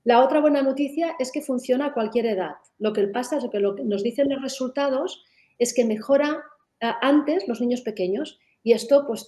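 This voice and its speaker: A woman's voice: fairly high pitch, mellow. Woman explaining in a webinar. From Catalonia. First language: Catalan. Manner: explanatory, with frequent tag questions and restarts. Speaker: method founder presenting in a webinar. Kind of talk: webinar presentation.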